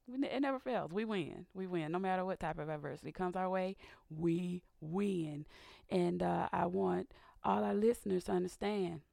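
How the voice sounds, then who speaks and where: A woman hosting a faith-based radio talk show, raspy and a little hoarse from sinus trouble, speaking earnestly and building to a preacher's fervor. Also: on the low side, normal speed, warm tone, dry recording, studio quality. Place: radio studio.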